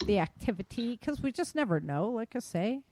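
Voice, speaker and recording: A woman's voice: a little high, big pitch swings, normal speed, darkish tone, a little hiss or hum. An American woman hosting a podcast in an informal, chatty, self-deprecating way, talking through the news unscripted. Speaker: podcast host. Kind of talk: casual unscripted chat about news.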